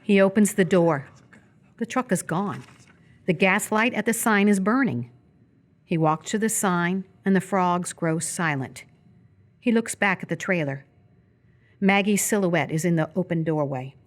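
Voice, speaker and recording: A woman's voice: lowish voice, measured pace, pauses often. A woman reading scripted stage directions as the narrator of a live radio theatre piece.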